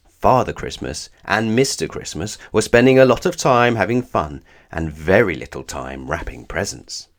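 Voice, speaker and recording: Male children's book narrator: low register, lively swooping intonation, expressive scripted read-aloud with measured phrasing.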